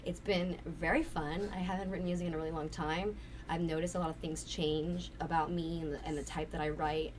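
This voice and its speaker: A woman with a raspy voice, chatting candidly and at length as a guest, swearing casually. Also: low-pitched, steady tone, a little fast. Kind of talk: casual interview chat. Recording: distant mic with faint noise.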